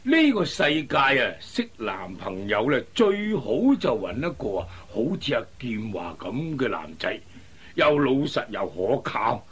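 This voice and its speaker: An avuncular Cantonese father in a radio drama. His husky voice moves slowly and drawls, rising and falling widely as he holds forth in a genial, old-fashioned way. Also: medium pitch, very animated, a bit bright, full character voice. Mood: genial, probing.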